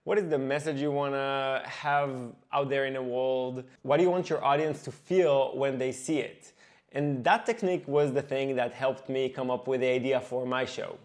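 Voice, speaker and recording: A man, an animation director, giving earnest scripted devlog narration and slowing down for emphasis. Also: medium pitch, fairly even intonation.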